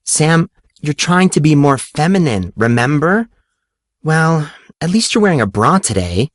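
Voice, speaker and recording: A man's voice: a little high, sing-song, unhurried, dry recording, studio quality, pitched above the performer's own voice. A man voicing a teasing, dramatic, boy-crazy teenage girl in an audiobook story.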